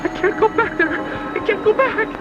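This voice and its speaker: A man's voice: extremely high pitch, normal speed, highs cut off, loud music behind the voice, pitched above the performer's own voice. A man voicing a terrified young woman on the run, pleading breathlessly and urgently in a horror radio story. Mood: panicked. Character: terrified pale young woman fleeing evil, desperate and pleading.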